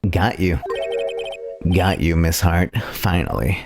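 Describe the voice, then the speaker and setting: A male streamer with a fairly low voice reads game dialogue aloud. His delivery is theatrical and a bit halting, with clear music in the background. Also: a little mellow. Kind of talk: dramatic read-aloud game playthrough.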